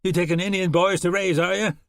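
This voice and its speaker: A man's voice: sits high, steady tone, brisk, gravelly, fairly bright tone, dry recording, studio quality, voice pushed up. A man playing a brutal, sneering frontier horseman. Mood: scornful. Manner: frontier drawl, sneering and harsh.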